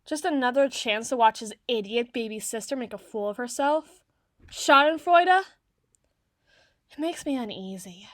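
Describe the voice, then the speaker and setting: A woman's voice: a little high, lively intonation, normal speed, a bit bright, no room sound, clean studio sound. A woman playing a flustered, haughty teenage tsundere girl in an ASMR roleplay, bookish and socially awkward, with formal, wordy diction. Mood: flustered musing.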